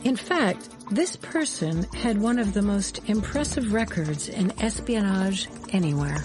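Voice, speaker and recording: An actress as a woman intelligence officer looks back on secret work in a radio drama. Her narration is measured and dryly ironic, at a middling pitch, with music clearly playing beneath. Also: expressive, natural voice. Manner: measured, dry irony. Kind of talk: scripted first-person radio drama narration. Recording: music bed under the voice.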